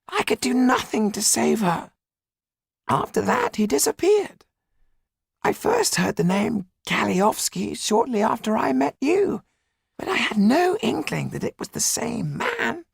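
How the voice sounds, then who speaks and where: A man voicing a haunted, secretive male magician in an audiobook, high, raspy and breathy, telling things in a slow, halting way. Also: no room sound, studio quality, exaggerated voice. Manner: slow, dramatic, halting storytelling. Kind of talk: audiobook character acting.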